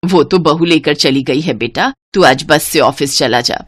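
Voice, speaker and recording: A woman voicing an older mother-in-law in a family story, protective of her son and gently reproachful. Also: sits low, a little slow, pitched below the performer's own voice. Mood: matter-of-fact.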